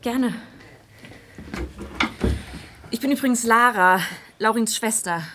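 A woman's voice: fairly high pitch, normal speed, close to an everyday voice. Woman as a hesitant teenage girl, noisy background. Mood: friendly. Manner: hesitant, casual youth slang. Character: worried 17-year-old girl, loyal younger sister, friendly. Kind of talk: naturalistic radio drama acting.

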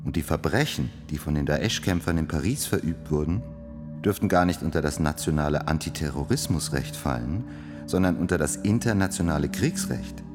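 A grave courtroom voice in a radio play, very deep and firmly declarative. It rings in a long hall reverb, with clear music behind it. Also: male voice, brisk. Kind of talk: formal scripted radio drama delivery.

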